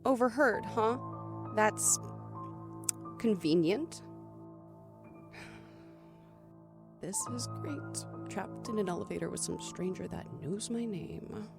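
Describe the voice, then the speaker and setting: A woman's voice: medium pitch, measured pace, creaky, over music. A woman playing an anxious woman trapped in an elevator with a stranger, in an audio thriller. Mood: suspicious. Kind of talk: audio thriller acting with first-person narration.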